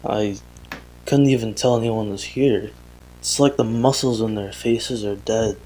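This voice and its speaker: A man playing an earnest young father recording a confessional audio diary in a horror drama, speaking haltingly and hesitantly. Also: fairly low pitch, mellow, rough recording. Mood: uneasy, shaken. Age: young adult. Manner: halting, hesitant, sighing, self-interrupting.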